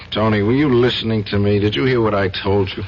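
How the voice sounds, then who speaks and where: An actor playing a conscience-stricken, agitated man in an old radio drama, speaking in an anguished, halting, urgent way. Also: on the low side, steady tone, natural voice. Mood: troubled. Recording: muffled, hissy old radio drama recording.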